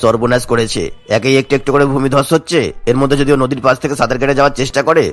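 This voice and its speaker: A man's voice: medium pitch, flat delivery, racing, faint music behind, natural voice. A man voicing a responsible, worried schoolteacher in a cartoon, earnest and urgent. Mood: alarmed, resolute.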